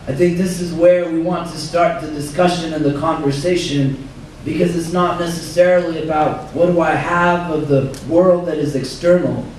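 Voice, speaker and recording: A hoarse man speaks as an imam at a dinner, measured and reflective, reciting Arabic passages. His slightly high voice sounds distant in a very roomy hall, with faint noise behind him. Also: darkish tone. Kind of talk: religious talk at a dinner. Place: banquet hall.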